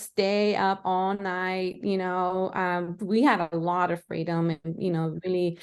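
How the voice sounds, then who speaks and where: A woman's voice: medium pitch, smooth. A woman in her fifties, raised in El Salvador, telling childhood stories as a guest in a live podcast interview, with a reflective, conversational manner and a few Spanish phrases. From Los Angeles, grew up in El Salvador.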